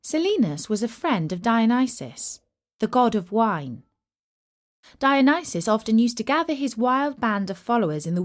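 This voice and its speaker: A woman narrating a scripted story for children in an expressive, measured and deliberate storyteller's manner. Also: mid-range voice.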